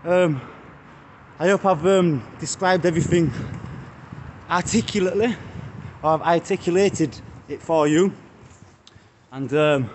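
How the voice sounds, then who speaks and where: British street vlogger in his thirties, high light voice, chatty and mocking, phone at arm's length outdoors. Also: unhurried, long pauses, a little mellow. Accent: British English. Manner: chatty, addresses viewers as guys, mocking jabs. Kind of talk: walking selfie vlog chat. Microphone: phone held at arm's length. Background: faint street traffic.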